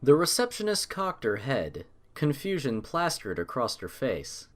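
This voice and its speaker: A man's voice: medium pitch, huge pitch swings, unhurried. A man narrating a scripted story with a dramatic storytelling manner.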